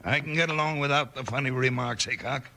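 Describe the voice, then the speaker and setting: Sharp, blustering Western villain. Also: male voice, mid-range voice, brisk, vocal fry. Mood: irritated, menacing. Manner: blustering, menacing. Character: hot-tempered crooked land swindler in a Western. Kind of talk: 1950s radio drama acting.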